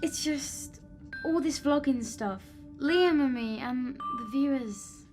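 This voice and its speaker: A high, girlish-pitched young woman played by an actress is an earnest vlogger full of self-doubt in an audio drama. She speaks hesitantly and sincerely, with music clearly playing behind her. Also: slow. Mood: vulnerable, confiding.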